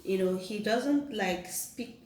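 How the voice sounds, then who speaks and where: A woman from Nigeria chatting casually with her husband on their channel. She has a naturally loud voice that she keeps low, and she talks in restarts and fillers. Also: slow pace, recorded from a distance. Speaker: vlogger co-host. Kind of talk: casual couple chat. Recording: roomy echo, off-mic.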